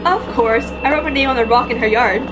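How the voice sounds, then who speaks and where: A woman's voice: fairly high pitch, a little fast, background noise, natural voice. A woman playing an easygoing, unfazed young girl with a casual manner in an amateur audio drama.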